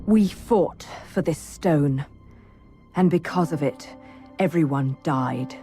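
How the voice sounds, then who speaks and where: A woman playing a guarded witch heroine in a video game, measured and understated. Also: deep voice, warm tone, faint music behind. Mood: somber. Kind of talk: video game cutscene acting.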